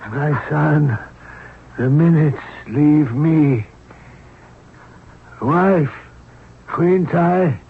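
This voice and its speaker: An actor playing a dying Egyptian pharaoh, solemn and halting, in a 1970s radio drama. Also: male voice, on the high side, vocal fry, heavily acted. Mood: solemn, resigned. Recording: muffled old radio broadcast, faint music.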